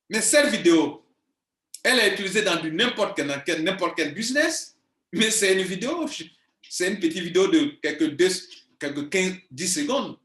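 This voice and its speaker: Man, 40s, business coach; high-pitched, insistent, repeats points, roomy echo. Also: a bit bright. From Ivory Coast. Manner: insistent, emphatic, repeats himself. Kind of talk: video monologue to viewers. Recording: echoey room.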